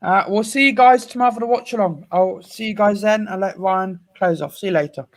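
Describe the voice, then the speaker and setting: A man's voice: sits high, a little fast. A young man co-hosts a casual football talk. He lists players and lineups from memory, sure of his picks. His voice is on the light side for a man. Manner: rattles off names and lineups. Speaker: co-host.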